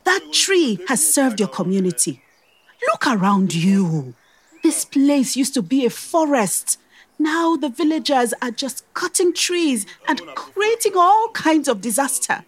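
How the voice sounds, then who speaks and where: Stubborn nigerian eco activist in a radio drama, high pitched, super lively, confrontational and insistent. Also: female voice, a little slow. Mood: exasperated, pleading. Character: determined, indignant female environmental activist, self-important and stubborn. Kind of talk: audio drama acting.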